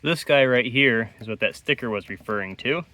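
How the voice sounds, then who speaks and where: A man's voice: mid-range voice, moderate pace, pushed and bright, a little hiss or hum. A man giving casual, hands-on repair commentary as a host, musing aloud in a laid-back way. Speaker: host of an electronics repair channel.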